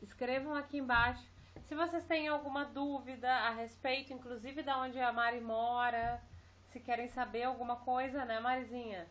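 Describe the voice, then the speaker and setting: A woman's voice: a little high, fairly even intonation, moderate pace, a bit bright. A woman co-hosting a casual chat, encouraging and speaking directly to her audience. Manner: encouraging, addresses viewers directly. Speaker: co-host.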